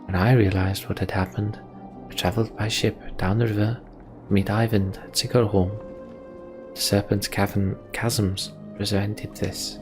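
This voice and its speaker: Male reader as calm fantasy sorceress, low pitch, measured storytelling, grave, clear music behind. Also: lightly acted. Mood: solemn, explanatory. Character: calm, grave female sorcerer recounting ancient history. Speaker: let's play host reading game dialogue aloud. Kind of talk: voiced reading of fantasy RPG dialogue. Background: game music.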